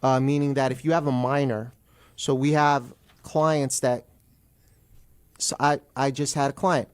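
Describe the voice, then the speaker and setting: A man's voice: medium pitch, little pitch movement, measured pace. A middle-aged man speaking as a guest attorney in a radio interview, explaining procedure in an emphatic way.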